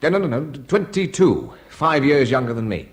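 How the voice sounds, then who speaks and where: An actor playing an anxious, politely persistent young British brother in a radio drama, hesitant and wry, with a husky voice. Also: male voice, mid-range voice, sing-song, moderate pace, natural voice. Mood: surprised, uneasy. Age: young adult.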